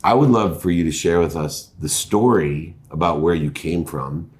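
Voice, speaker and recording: A middle-aged man hosting an interview show, energetic and enthusiastic, often saying "you know". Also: sits low, normal speed. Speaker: host. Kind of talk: podcast interview host.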